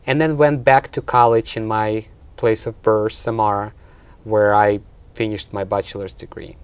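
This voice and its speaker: A man's voice: lowish voice, flat delivery, normal speed, clear, resonant tone, no room sound. A man from Russia with a Russian accent, answering interview questions in an explanatory way, as a college instructor. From Russia and Ukraine.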